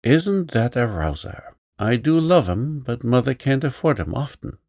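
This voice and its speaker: Male reader doing a humble lame boy in a storybook: meek, higher voice, slow. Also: very animated, darkish tone, dry recording, studio quality. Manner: humble, plain-spoken, says "ain't"-style slang. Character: poor lame young boy, meek and cheerful.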